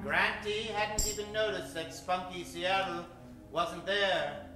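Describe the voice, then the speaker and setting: Male announcer-narrator: old-time radio melodrama, high register, music playing behind. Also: fairly even intonation, takes it slowly. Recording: echoey stage, music behind.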